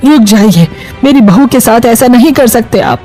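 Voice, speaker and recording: A woman's voice: a little high, lively intonation, moderate pace, mellow, soft background music, natural voice. A woman playing a firm, protective middle-aged mother-in-law in a Hindi moral story, speaking emphatically.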